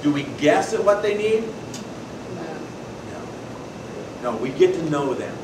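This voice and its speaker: A man with a Pacific Northwest accent giving a folksy, lively workshop talk to an audience, telling stories and asking the room questions. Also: on the high side, unhurried. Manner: loud folksy storyteller, asks the room questions. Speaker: presenter at a membership workshop. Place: meeting hall with a live audience. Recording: distant, echoey room recording with loud noise.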